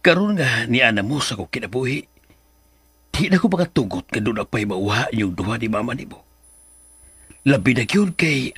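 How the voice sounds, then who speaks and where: A man's voice: mid-range voice, a bit bright, breathy edge, dry recording. A man playing an earnest, protective older father, solemn and pleading, in a radio drama.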